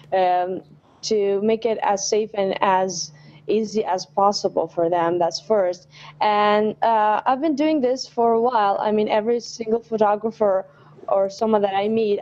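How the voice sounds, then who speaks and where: A woman's voice: mid-range voice, darkish tone. Plain-spoken young woman, muffled line. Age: young adult. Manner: plain-spoken, hesitant with fillers. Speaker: student guest. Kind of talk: casual interview answers.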